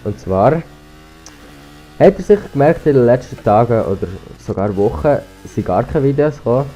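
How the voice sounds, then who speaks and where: A man's voice: mid-range voice, warm tone. A teenage boy with a Swiss German accent, rambling casually over gameplay through a cheap headset while slightly sick with a cold. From Switzerland. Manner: rambling, hesitant, full of restarts and ums. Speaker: gaming YouTuber. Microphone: cheap gaming headset.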